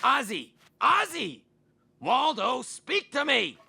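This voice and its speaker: An actor playing a casual, excitable and protective brother of a psychic in an audio drama. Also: male voice, very high voice, takes it slowly, creaky, slight background noise, heavily acted. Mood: frantic.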